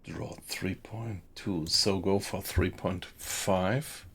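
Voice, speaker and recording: A German man with a German accent, chatting with viewers as he streams a game, relaxed and often quiet. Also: low-pitched, takes it slowly, vocal fry, husky. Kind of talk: casual gameplay commentary, chatting with viewers.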